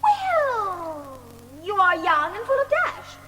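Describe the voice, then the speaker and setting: A woman's voice: very high voice, wildly swooping pitch, slow pace, a crowd in the background, heavily acted. A woman playing a menacing, falsely genteel female villain in an audiobook drama, condescending and mock-polite. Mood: silky, persuasive.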